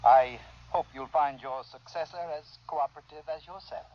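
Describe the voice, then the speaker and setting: A muffled vintage radio recording carries an actor as a dignified male overlord. He is formal and gracious, with a mid-pitched voice, in the manner of 1950s radio drama. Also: moderate pace, lightly acted. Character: dignified, courteous reigning overlord facing death.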